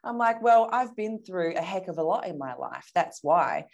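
Woman, early thirties, Australian accent, slightly low pitch, candid, self-assured, conversational storytelling, interview guest. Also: expressive, moderate pace, vocal fry. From Perth, Australia.

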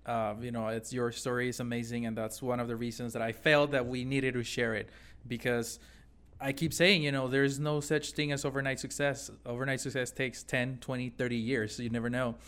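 A male podcast host with a smooth, resonant voice at a middling pitch. He praises his guest enthusiastically and asks follow-up questions. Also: level tone, fairly quick, a bit bright. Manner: enthusiastic, admiring. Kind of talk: interview questions.

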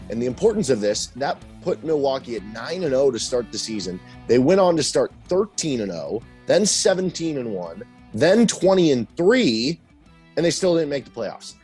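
A man hosting a sports podcast in casual chat, hoarse and losing his voice, running through stats in a relaxed way. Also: mid-range voice. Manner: relaxed, lists stats conversationally. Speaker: podcast host.

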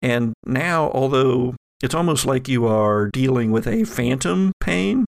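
A man with noticeable fry in the voice narrates a horror tabletop game as its game master. The delivery is improvised and halting, and the sound is close and dry. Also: mid-range voice, lively intonation, dry recording. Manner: halting, thinking aloud as they narrate.

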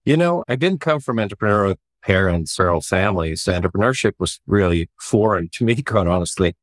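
A man, a startup founder answering interview questions in a relaxed, reflective way with many "you know" fillers. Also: deep voice, a little fast, dry recording, studio quality. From Sweden.

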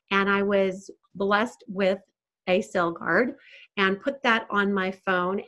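A woman's voice: mid-range voice, fairly bright tone. A woman, a life coach, hosting her talk show with an enthusiastic, effusive way of speaking. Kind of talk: hosting an interview.

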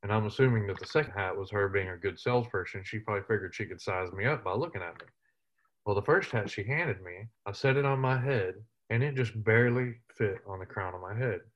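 Man in his thirties, humorous storyteller, low voice, muffled recording. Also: a little fast. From West Texas. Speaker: interview guest, military veteran. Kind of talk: storytelling in casual interview.

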